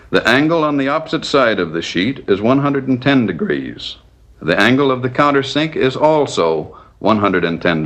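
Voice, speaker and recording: A man narrating a scripted 1940s instructional film in a measured, didactic, step-by-step manner. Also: medium pitch, fairly bright tone.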